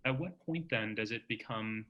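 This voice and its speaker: A man's voice: fairly low pitch, normal speed, highs cut off. An American man from Texas hosting a casual, reflective interview, often restarting phrases as he works out his thoughts. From Texas, United States. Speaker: interviewer, show host.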